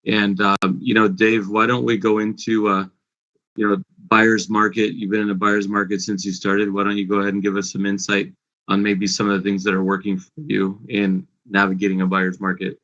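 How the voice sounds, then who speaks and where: A man in his forties moderates a panel, speaking in a low, bright voice with a flat, level delivery. He pauses often with "uh" between thoughts. The sound is dull and lo-fi. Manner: hesitant, many "uh" fillers.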